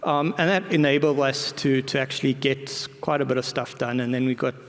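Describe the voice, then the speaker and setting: A man's voice: mid-range voice, steady tone, fairly quick. A man speaking informally as a conference presenter, colloquial and self-deprecating, often repeating words and backtracking as he goes. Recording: reverberant hall recording.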